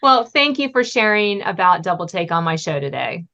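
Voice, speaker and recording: A woman's voice: fairly low pitch, sing-song, moderate pace, pushed and bright. A woman hosting a podcast, speaking in a conversational interview style. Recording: muffled, band-limited audio.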